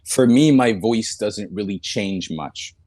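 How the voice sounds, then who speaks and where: A man's voice: on the low side, takes it slowly, darkish tone. A man in casual conversation, explaining and justifying himself with restarted phrases.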